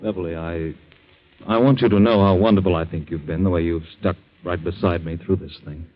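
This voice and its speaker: An actor playing an earnest, aggrieved husband in a theatrical 1940s radio drama. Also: male voice, sits low, steady tone, a little fast, faint music behind, close to an everyday voice. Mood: tender, uneasy. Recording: muffled 1940s radio recording.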